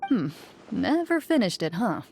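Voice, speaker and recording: Stern wise swordswoman mentor in a game, measured and commanding, mellow tone, faint music. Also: medium pitch, very animated, creaky. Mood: cool, probing. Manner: measured, probing, authoritative. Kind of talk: dramatic video game voice acting.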